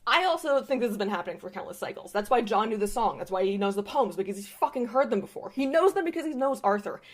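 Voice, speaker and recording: A woman's voice: mid-range voice, expressive, fast. A woman delivering an impassioned fan-theory monologue as a voice message, dramatic and emphatic, with some swearing. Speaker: listener sending a voice message.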